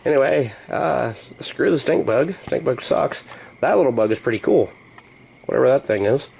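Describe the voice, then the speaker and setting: A man's voice: mid-range voice, animated, moderate pace, vocal fry, a little mellow, slight background noise, poor audio. A man from the United States chatting casually as he vlogs about his hobby, in a playful, slangy and mildly profane way. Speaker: hobbyist vlogger. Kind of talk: rambling casual chat to camera.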